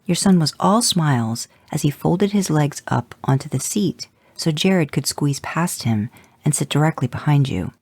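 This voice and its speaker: Gravelly low man reading a story. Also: expressive, normal speed, no echo. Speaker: fan fiction reader. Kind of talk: reading aloud fan fiction.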